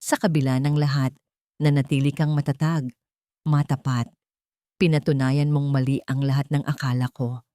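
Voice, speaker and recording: A woman voicing an earnest, gentle young wealthy man, remorseful and in love, in an audiobook drama. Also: extremely low pitch, flat delivery, normal speed, no room sound, clean studio sound, pitched below the performer's own voice.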